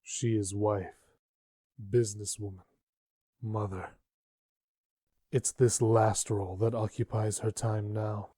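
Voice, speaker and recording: A man narrating a dark comic dub as a sombre storyteller, in a subdued, measured manner. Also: on the low side, little pitch movement, no echo, clean studio sound.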